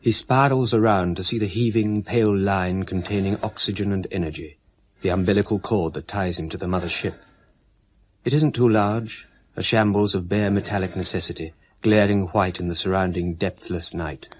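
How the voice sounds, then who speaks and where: A man narrating a 1970s radio drama in a measured, literary way, with a husky voice. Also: sits low, fairly even intonation. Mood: calm. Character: detached male narrator of a space drama.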